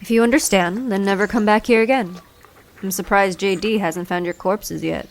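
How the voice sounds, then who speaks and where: Woman acting as haughty underworld demigod, cold and commanding, formal wording, audio drama, medium pitch. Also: fairly even intonation, moderate pace, lightly acted. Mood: threatening. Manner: cold, imperious, formal phrasing. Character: haughty, hostile demigod child of Hades guarding a park.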